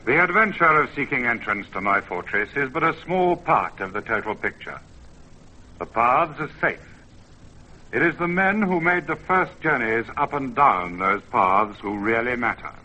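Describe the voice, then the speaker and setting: A man's voice: mid-range voice, expressive, moderate pace, sharp tone, low-quality recording. A man voicing a proud, paternal mountain spirit in solemn, stately, declamatory verse for an old-time radio drama. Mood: majestic. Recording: vintage radio, music bed.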